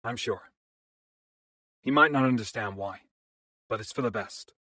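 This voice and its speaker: A man's voice: mid-range voice, a little slow, pushed and bright, no echo, clean studio sound. A man playing a reluctant, responsible young hero in a fantasy drama. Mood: sombre. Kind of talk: fantasy audio drama acting.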